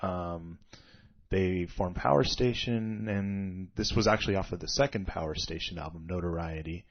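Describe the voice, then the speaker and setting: A man hosting a music radio show in a studio, with a deep voice, chatting and rambling through music trivia. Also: normal speed. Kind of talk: casual music radio chat. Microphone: studio microphone. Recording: dull lo-fi radio recording.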